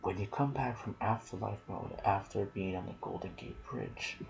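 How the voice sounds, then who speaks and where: Low, creaky-voiced man in soft ASMR commentary. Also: background noise. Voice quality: soft, breathy, partly whispered. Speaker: gaming video creator and host. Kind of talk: ASMR gaming commentary mixed with casual chat.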